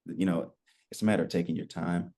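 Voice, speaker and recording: A man in his twenties telling his story as a podcast guest, relaxed and rambling, with lots of fillers. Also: sits low, quick pace. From United States. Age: 20s. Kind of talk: casual interview chat.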